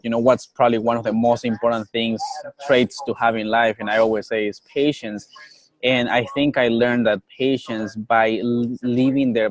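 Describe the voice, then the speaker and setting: A man's voice: mid-range voice, no room sound, rough recording. An earnest young man from Colombia, with Nasa as his first language, talks as a guest in a casual interview. He often repeats words as he searches for the next one. His mid-range voice sounds dry and close to the mic. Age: young adult. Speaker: guest, coffee business owner.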